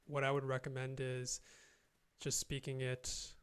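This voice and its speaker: A man's voice: medium pitch, monotone, takes it slowly, clean tone. A young man chatting as a guest on a video call, hesitant, with many fillers and restarts, recorded on a close studio mic in a small room. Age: young adult. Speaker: guest, language learner and youtuber. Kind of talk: casual video-call conversation. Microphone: studio mic close in front, earphones.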